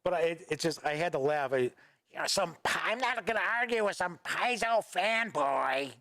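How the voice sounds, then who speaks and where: A man's voice: on the high side, lively intonation, normal speed, sharp tone, no echo. Male stream host, casual and rambling, answers chat offhandedly, raised voice, dry close sound. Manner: rambling, reads viewer chat aloud and responds offhandedly. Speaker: host of a gaming news livestream. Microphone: studio microphone at a desk.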